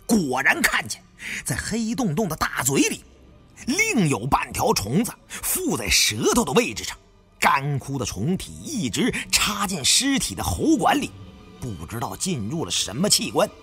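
A man's voice: a little high, wildly swooping pitch, measured pace, fairly bright tone, husky, soft background music. A man narrating a suspenseful story in a theatrical, dramatic audiobook style.

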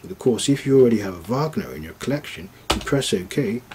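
A man giving an informal, unscripted step-by-step device demonstration, haltingly thinking aloud as he works through it. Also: mid-range voice, expressive, darkish tone, slight background noise. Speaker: product demonstrator.

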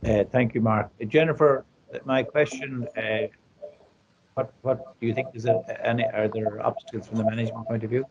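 A man chairing a formal webinar over a video call, speaking in a halting, hesitant way. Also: medium pitch, fairly even intonation. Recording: dull, noisy video-call audio.

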